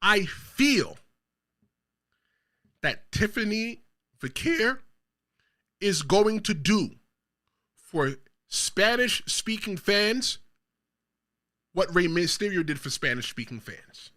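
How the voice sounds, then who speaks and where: A man's voice: high voice, sing-song, slow, long pauses, bright, forceful tone. A man from the Bronx hosting his own wrestling commentary show, talking straight to viewers and delivering emphatic hot takes. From the Bronx, New York. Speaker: show host.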